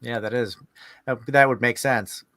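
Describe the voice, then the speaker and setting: A man hosting a podcast interview, speaking casually and haltingly as he feels his way through his questions. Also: mid-range voice, steady tone, a bit bright, dry recording, studio quality. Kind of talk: casual, hesitant interview chat.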